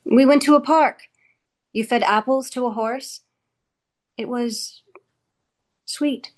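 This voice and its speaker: Higher-voiced, wryly composed woman boss played by an actress. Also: takes it slowly. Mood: dry, soothing. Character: Cobell, composed, wryly condescending female office boss. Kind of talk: script table read.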